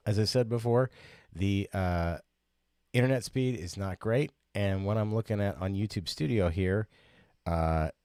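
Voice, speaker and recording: A man's voice: low-pitched, a little mellow, no room sound, clean studio sound. A sniffly man hosting a casual livestream, rambling and chatty with lots of fillers and restarts. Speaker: livestream host.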